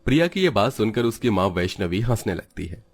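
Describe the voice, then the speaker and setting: Low-pitched male story narrator. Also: big pitch swings, brisk. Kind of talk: scripted children's story narration.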